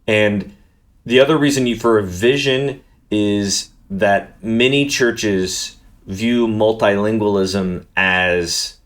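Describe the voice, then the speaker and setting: A man's voice: on the low side, a bit bright. A man talking through a book review in an earnest, thoughtful way, often restarting his words. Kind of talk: unscripted book review monologue.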